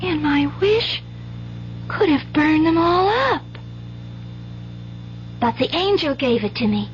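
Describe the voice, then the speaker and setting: A woman's voice: high-pitched, sing-song, slow, exaggerated voice. An actress playing an earnest, trusting country schoolgirl with folksy, wide-eyed speech in a radio drama. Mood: frightened, pleading. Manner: folksy rural grammar, eager and wide-eyed. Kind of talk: 1970s radio drama acting. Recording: dull, hissy old radio broadcast.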